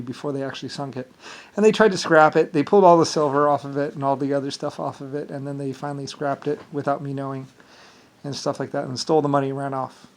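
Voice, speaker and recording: A man with an Irish accent delivering a rambling, digressive solo monologue with self-assured claims. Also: medium pitch.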